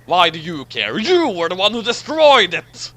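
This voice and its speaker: Man putting on an exasperated, bright-voiced alien doctor. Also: high voice, wildly swooping pitch, normal speed, full character voice. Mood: indignant. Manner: indignant, sarcastically polite. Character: exasperated male time-travelling alien doctor, indignant, put-upon.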